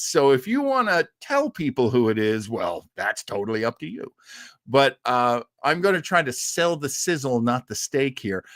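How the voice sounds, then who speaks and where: A man with a very lively, swooping delivery hosts a casual livestream. He rambles enthusiastically, halting and wandering off on tangents as he talks to his viewers. The sound is close and dry. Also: medium pitch, no room sound. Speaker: host of a livestreamed old-time radio listening show.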